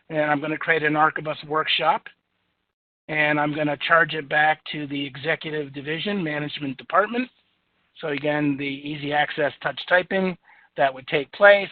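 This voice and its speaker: A man with a booming voice walking through a software demo in an easygoing, chatty way with lots of fillers. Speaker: software demonstrator. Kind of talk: software screen-share demo. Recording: tinny phone-like audio, dry.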